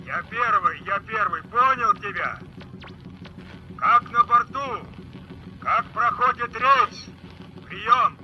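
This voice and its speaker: An actor playing a formal dispatcher who calls a boat over the radio with drawn-out words in a Soviet radio play. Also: male voice, sits high, dull audio. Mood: formal, attentive. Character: official dispatcher calling boats over radio.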